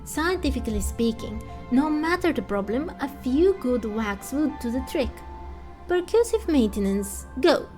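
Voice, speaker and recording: A woman's voice: high voice, very animated, measured pace, darkish tone. A woman voicing a breezy, boastful young female mechanic from a fantasy game, talking glibly. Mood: cheerfully confident. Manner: boastful, glib. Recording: music under the voice.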